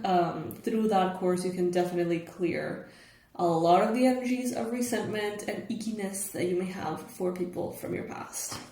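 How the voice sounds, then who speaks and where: Raspy just-woken woman reading tarot. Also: medium pitch. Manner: chatty, with fillers and soft laughs. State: hoarse, just woke up. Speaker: tarot reader, channel host. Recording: echoey room, faint noise.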